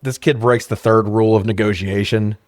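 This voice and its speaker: A man's voice: on the low side, fairly even intonation, fairly quick, dry recording, clean studio sound. A man co-hosting a podcast, giving a casual, comedic, sweary recap of a movie in slangy talk. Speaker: podcast co-host.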